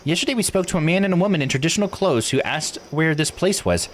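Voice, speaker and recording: A man's voice: fairly high pitch, expressive, fast, a little hiss or hum, voice pushed up, natural voice. A man voicing an earnest, worried young islander in a visual novel, polite and questioning. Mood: puzzled. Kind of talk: visual novel read-aloud voice acting.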